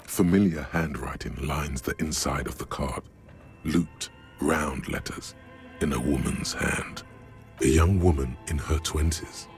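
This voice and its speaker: A man acting as a brooding inner-voice narrator in a game, grave and intimate, with a husky, solemn delivery. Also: a bass voice, a little slow. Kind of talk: scripted dramatic game narration. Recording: music under the voice.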